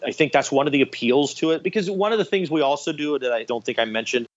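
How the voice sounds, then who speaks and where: A man's voice: on the high side, fast talker, dull audio. A man speaking as an interview guest, explaining things conversationally with illustrative anecdotes. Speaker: podcast guest, radio theatre director.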